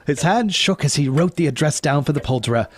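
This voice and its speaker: A man's voice: a little high, animated, brisk. A man narrating a scripted audio drama as a storyteller.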